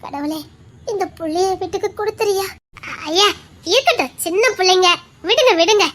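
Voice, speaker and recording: An actress playing a gentle, forgiving middle-aged mother who soothes a crying young woman. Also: very high voice, normal speed, a little hiss or hum. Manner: soft, soothing. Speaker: neighbour, the boy's mother.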